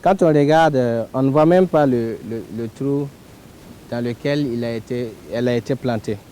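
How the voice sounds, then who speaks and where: A man with a Burkinabe accent explaining on site outdoors, halting and correcting himself. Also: mellow, rough recording. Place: outdoors along a rural track. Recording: outdoor field recording with rumble, vehicle noise.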